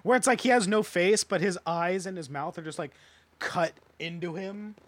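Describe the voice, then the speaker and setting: Higher-pitched man, informal and very dry sound. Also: normal speed, fairly bright tone, dry recording. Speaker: podcast co-host. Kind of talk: casual panel discussion of films.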